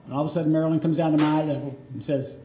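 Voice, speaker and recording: An older American man performing a nostalgic autobiographical monologue live, theatrical, with dramatic pauses. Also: on the high side, fairly even intonation, warm tone. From Florida, United States. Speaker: storyteller. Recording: roomy live recording with faint noise.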